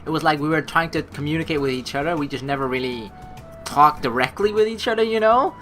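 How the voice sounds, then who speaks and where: A woman's voice: low-pitched, fast, a bit bright, faint music behind. A woman hosting a let's play, reading game text aloud and breaking off into casual, rambling asides. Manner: casual, rambling, self-correcting. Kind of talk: reading a visual novel aloud with chatty asides.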